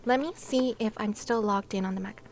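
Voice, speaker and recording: A woman's voice: mid-range voice, a little fast, darkish tone, faint music behind. A woman livestreaming and chatting casually with her viewers, giggly and playful, with gushing exclamations and sing-song asides. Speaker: live streamer.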